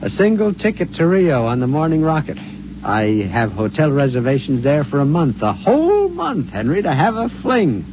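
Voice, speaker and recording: A giddy, henpecked husband, stammering. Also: male voice, fairly high pitch, wildly swooping pitch, normal speed. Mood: gleeful. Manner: stammering, confiding, gleeful. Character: henpecked middle-aged husband, giddy and secretive. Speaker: radio drama actor. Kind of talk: 1950s radio acting. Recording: dull 1950s radio recording, faint hiss.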